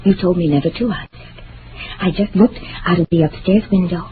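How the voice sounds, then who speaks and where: A woman's voice: fairly low pitch, brisk, lightly acted. An actress playing a childlike, lonely woman of nearly forty in an old-time radio drama, with a timid, wistful, pleading manner. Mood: anxious to please. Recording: tinny, noisy old radio recording.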